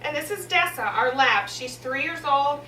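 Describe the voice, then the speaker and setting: A woman's voice: sits high, animated, moderate pace, sharp tone. A thirty-eight-year-old woman from Georgia introducing herself as the mom in a casual home video, hesitant and searching for words. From Atlanta, Georgia, USA. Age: 30s. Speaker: mother interviewed about home Christmas lights. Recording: roomy echo, from some distance, faint hum.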